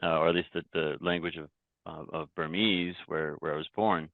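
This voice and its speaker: A very low-pitched man speaks as a podcast guest, recounting his own story in a reflective, halting way with frequent restarts. His voice comes through a thin, phone-like remote line with a dry, close sound. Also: steady tone, dry recording. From Canada. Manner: reflective, many restarts and repeated words. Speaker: podcast guest, company founder. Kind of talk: casual interview talk.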